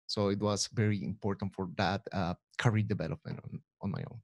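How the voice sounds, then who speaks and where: A man speaking as a panelist on a video call, measured and filler-heavy, on a headset mic in a home studio room. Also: fairly low pitch, fairly even intonation, a little mellow, dry recording. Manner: measured, uses many fillers. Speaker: panelist, developer.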